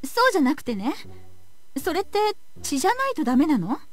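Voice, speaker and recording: A gentle young researcher. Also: female voice, high voice, sing-song, brisk, soft background music. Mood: curious. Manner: soft-spoken, polite. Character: calm young female researcher, gentle and caring. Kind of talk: Japanese visual novel voice acting.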